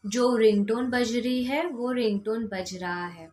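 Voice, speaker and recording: A woman's voice: a little high, steady tone, unhurried, a little mellow. An Indian woman giving instructional how-to talk as a coach, repeating key steps and mixing in English words. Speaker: law of attraction coach.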